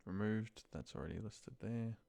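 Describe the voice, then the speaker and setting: A man thinking aloud in a muttered, pausing way as he talks through spreadsheet work on his computer. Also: lowish voice, creaky, mellow. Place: at a computer desk, indoors.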